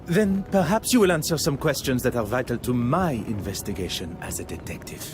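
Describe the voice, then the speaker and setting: A man plays a theatrical Belgian detective with a French accent and a very lively, swooping delivery. He is formal, polite and precise, always probing, as in a mystery game. Soft music plays behind him. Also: mid-range voice, brisk, close to an everyday voice. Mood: stern, probing. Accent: French-speaking Belgian. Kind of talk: video game voice acting.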